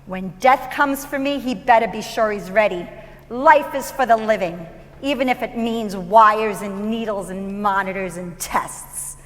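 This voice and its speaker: A woman's voice: mid-range voice, unhurried, big-room sound, close to an everyday voice. An actress playing a frail, wisecracking, kind-hearted elderly mother in a staged musical, speaking in a folksy, emphatic, theatrical way. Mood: wry, defiant. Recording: live stage performance over loud piano. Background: piano accompaniment.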